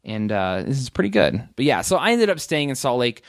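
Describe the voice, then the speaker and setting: A man's voice: mid-range voice, big pitch swings, fairly quick, creaky, no echo, studio quality. A man in his late twenties, a guest comedian telling his story in a candid, rambling, self-mocking way during a casual interview. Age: 20s.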